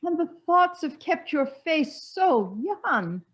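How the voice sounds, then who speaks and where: A woman's voice: sits high, sing-song, slow, heavily acted. A woman acting a sympathetic, gossipy society lady in a stage play, theatrical and emphatic. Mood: curious, sympathetic. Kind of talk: one-act stage play performed on a video call.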